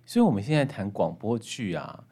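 A man's voice: on the low side, very animated, a little fast, mellow, husky. A man with a Taiwanese Mandarin accent hosting a conversational book interview, thinking aloud and exclaiming with interest. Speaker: podcast host and interviewer.

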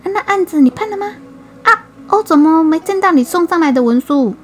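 A woman voicing a fussy male prefect who questions and scolds in quick bursts. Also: very high-pitched, lively intonation, soft background music, voice pushed up. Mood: questioning.

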